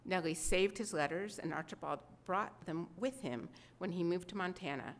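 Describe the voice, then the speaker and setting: A woman's voice: lowish voice, expressive, moderate pace. A middle-aged woman presenting a program of readings, speaking scripted narration at a podium microphone in a gallery. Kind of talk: scripted narration to a live audience. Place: indoor museum gallery. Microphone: podium gooseneck microphone.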